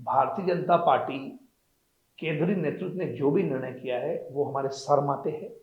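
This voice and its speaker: A man's voice: fairly high pitch, moderate pace, echoey room, lo-fi sound. A man giving emphatic, declamatory interview answers as a political hopeful. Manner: emphatic, declamatory, repeats phrases. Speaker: political aspirant guest.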